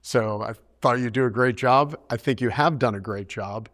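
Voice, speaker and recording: A man's voice: mid-range voice, normal speed, fairly bright tone. A man, a former party chairman, interviewing his successor with friendly, plain questions. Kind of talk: conversational interview.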